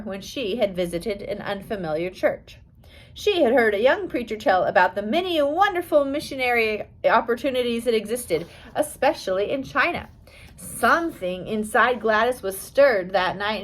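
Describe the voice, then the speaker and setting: A woman reading a scripted biography aloud as an audiobook, with careful storytelling delivery. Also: fairly high pitch. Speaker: audiobook reader.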